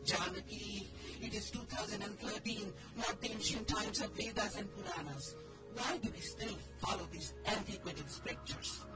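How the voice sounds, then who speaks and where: A woman's voice: medium pitch, moderate pace, loud music behind the voice, lightly acted. Woman acting a defiant, indignant wife. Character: defiant, indignant Indian wife seeking divorce.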